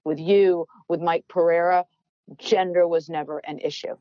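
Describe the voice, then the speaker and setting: A middle-aged woman, a former team executive, telling a story as a podcast guest in a loud, animated way that gets worked up. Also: lowish voice, moderate pace, no room sound, muffled recording. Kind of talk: storytelling in conversation.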